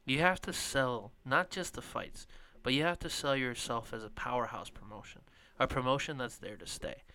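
Bright, smooth-voiced man chatting. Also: medium pitch, moderate pace. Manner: rambling, filler-heavy, trails off quietly. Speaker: podcast co-host. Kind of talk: casual sports chat.

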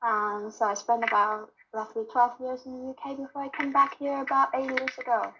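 A woman's voice: high voice, flat delivery, brisk, lo-fi sound, noisy background. A woman from Taiwan, an assistant professor, answering interview questions thoughtfully with frequent fillers.

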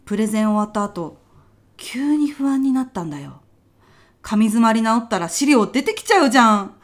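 Casting: actress as high-voiced female office senior, petty worrier, blunt and pushy, for comedic audio sketch. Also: expressive, normal speed, close to an everyday voice. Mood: agitated. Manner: blunt, pushy, rough-mouthed when challenged. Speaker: voice actor in a comedy radio drama. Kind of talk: short comedic radio drama.

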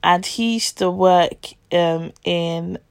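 Chatty young woman with heavy vocal fry. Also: lowish voice, slow, dry recording, clean studio sound. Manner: chatty, confiding, addresses listeners as guys. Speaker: podcast host telling a personal story. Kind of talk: casual storytelling.

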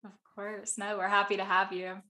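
A woman's voice: mid-range voice, flat delivery, moderate pace, pushed and bright. A young woman co-hosting a podcast in friendly, upbeat casual chat. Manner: friendly, upbeat, laughs easily. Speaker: podcast co-host.

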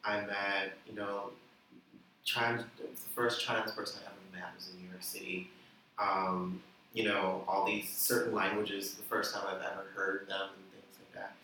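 A man's voice: low-pitched, monotone, normal speed, pushed and bright. Young man in an echoey room. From the Bahamas. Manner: chatty, laughing, many "like" and "you know" fillers. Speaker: musician guest. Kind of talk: casual interview chat. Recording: echoey room, distant mic, light noise.

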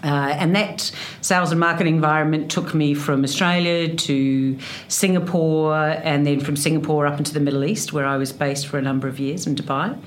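A woman in her fifties with a New Zealand accent, a hotel executive answering interview questions with frequent fillers and pauses. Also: low voice, steady tone, slight background noise. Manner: hesitant, many fillers.

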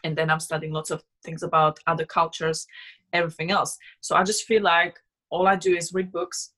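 Bright-voiced young Slovak woman, earnest and emphatic. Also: low voice, flat delivery, a little fast. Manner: earnest, emphatic, repeats words. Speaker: guest, business owner. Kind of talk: casual personal conversation.

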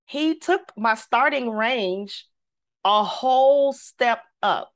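Animated woman telling a personal story. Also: fairly high pitch, slow pace. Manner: animated storyteller, acts out quotes, devout. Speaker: guest; singer. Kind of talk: personal story in casual conversation.

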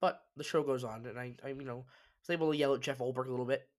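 A man's voice: medium pitch, steady tone, fast talker. A man hosting a solo sports talk show, congested and sniffly, giving emphatic opinions with frequent restarts. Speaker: sports podcast host.

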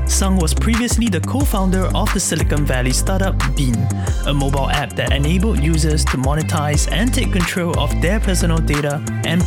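A man hosting a podcast speaks in a measured, read-out way as he introduces the show and his guest. His voice sits a little high for a man. Loud music plays under him throughout. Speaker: podcast host. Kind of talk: scripted podcast intro and interviewing.

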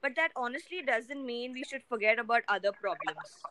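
A young woman from India co-hosting a casual discussion, speaking in an earnest, measured way. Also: on the high side, animated, sharp tone. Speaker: co-host.

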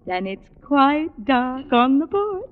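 A placating middle-aged wife in a vintage radio comedy. Her high voice rises and falls as she coaxes sweetly. The old recording is dull and reverberant. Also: sing-song, slow, full character voice. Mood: soothing. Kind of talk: old-time radio situation comedy acting.

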